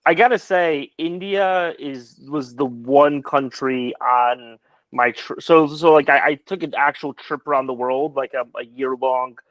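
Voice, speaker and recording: A man whose first language is Polish, telling animated travel stories in casual chat over a video call. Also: mid-range voice, unhurried, no echo, poor audio. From United States. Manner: animated storyteller, many restarts.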